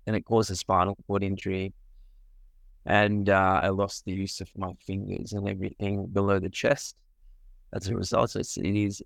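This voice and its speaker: A man from Sri Lanka, a medical doctor, answering interview questions earnestly, pausing often with fillers. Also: sits low, completely flat delivery, vocal fry, no room sound. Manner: earnest, with frequent pauses and fillers.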